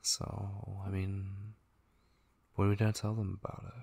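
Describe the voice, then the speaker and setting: A man playing a tender, devoted young gamer boyfriend in an ASMR roleplay, speaking softly, intimately and hesitantly with a husky voice. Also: deep voice, completely flat delivery, normal speed, full character voice. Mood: playful, affectionate. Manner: soft, hesitant, intimate, with murmured asides. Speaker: ASMR voice actor.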